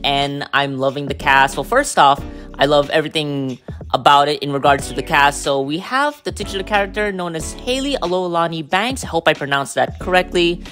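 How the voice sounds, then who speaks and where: A man's voice: fairly high pitch, fairly bright tone. A man hosting his own podcast, giving a casual, unscripted show review in a chatty way, with lots of fillers and restarts. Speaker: podcast host. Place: New York City.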